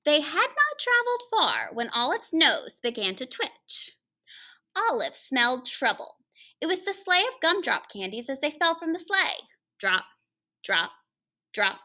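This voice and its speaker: A woman reads a children's story aloud in a high, bright voice with very lively pitch. She plays up the drama with pauses and drops into hushed, chatty asides to the young listeners. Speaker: teacher reading a picture book aloud to children.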